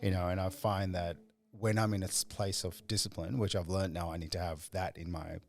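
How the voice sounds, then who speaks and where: A man's voice: low voice, level tone, a little fast, gravelly, darkish tone, faint music behind. A man from Sri Lanka hosting a podcast interview, asking long, musing questions and reflecting on his own experience. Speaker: podcast host.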